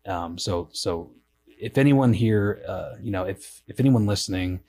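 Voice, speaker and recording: A man's voice: sits low, a little mellow. A man in his late twenties hosting a casual worship podcast, upbeat and joking, asking questions and giving advice. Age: 20s. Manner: upbeat, joking, quick asides. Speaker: podcast host, worship leader.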